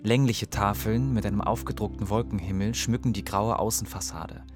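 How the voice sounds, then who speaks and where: A man narrating a documentary voice-over in a calm, measured way. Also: on the low side, over music.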